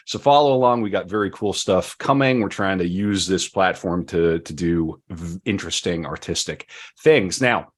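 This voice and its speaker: Male podcast host, lower register, wry and joking, conversational with stop-start pauses. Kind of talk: casual podcast talk.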